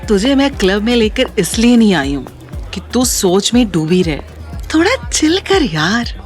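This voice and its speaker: An actress playing a bubbly young party-girl friend in an audio story, coaxing in a casual, drawn-out way. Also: medium pitch, very animated, soft background music. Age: young adult. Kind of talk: Hindi audio story acting.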